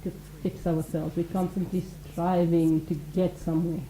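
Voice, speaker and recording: A woman with a low, very mellow voice, talking earnestly about mindfulness as a regular on a radio show. She builds her points through everyday examples and quoted inner thoughts. The room around her is echoey, with a faint hum underneath. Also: flat delivery, normal speed. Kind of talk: conversational radio talk.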